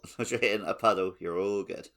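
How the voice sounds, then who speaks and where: A man's voice: lowish voice, steady tone, fast talker, fairly bright tone. A man co-hosting a podcast, talking casually and conversationally about a video game. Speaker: podcast co-host.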